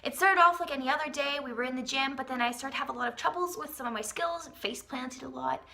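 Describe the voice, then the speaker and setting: A woman's voice: high voice, fast talker, vocal fry, pushed and bright. A young woman, telling a comedic personal story to an audience in a theatrical, emphatic way. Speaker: vlogger, storyteller. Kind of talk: dramatic comedic storytelling to camera.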